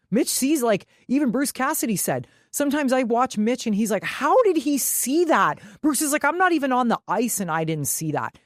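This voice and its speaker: A woman's voice: mid-range voice, no echo, studio quality. A woman co-hosting a sports podcast, chatting casually in a blunt, emphatic way with frequent swearing.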